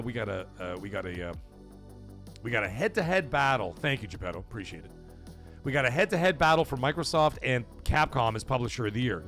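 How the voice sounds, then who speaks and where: Give me a rambling, animated man hosting a gaming livestream, with faint music behind him. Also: fairly high pitch. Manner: rambling, animated, answers chat by name. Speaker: livestream gaming show host. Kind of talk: casual livestream chat reading chat comments.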